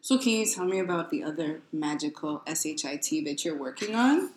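A woman's voice: sits low, animated, fairly quick. Encouraging New York woman interviewer. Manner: encouraging, laughs along. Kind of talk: casual interview chat. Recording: roomy echo.

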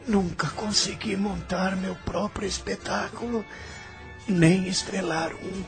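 A man's voice: high-pitched, vocal fry, exaggerated voice. An actor playing a remorseful, broken male vagrant in a theatrical, lamenting Brazilian radio drama style. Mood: wistful regret. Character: remorseful, broken male vagrant recalling his lost life.

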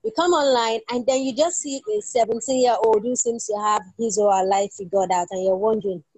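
Podcast host: young woman, husky tone, conversational delivery, very dry close sound. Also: a little high, a little fast, a little mellow, dry recording, low-quality recording. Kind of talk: conversational interview.

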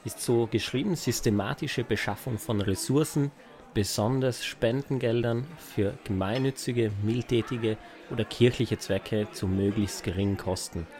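A man's voice: mid-range voice, a little slow, darkish tone. A man from South Tyrol with a South Tyrolean accent, chatting casually as a podcast co-host. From South Tyrol, Italy.

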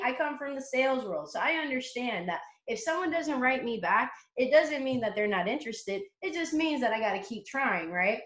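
A woman with a fairly high voice talks brashly and confidently, swearing freely as she tells her story in a casual interview. Her voice rings in an echoey room. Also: fairly bright tone. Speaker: interview guest, motivational coach.

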